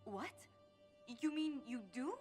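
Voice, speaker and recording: A woman's voice: high voice, slow, mellow, soft background music. An actress playing a polite female ambassador who stammers formally when surprised by a confession. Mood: flustered. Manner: formal, flustered, stammers when caught off guard. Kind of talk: video game dialogue.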